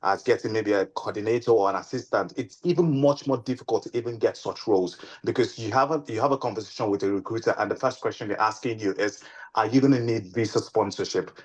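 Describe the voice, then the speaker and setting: A man serving as a panel moderator, asking long questions. He talks haltingly, often restarting and repeating words. His voice sits fairly low. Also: breathy edge.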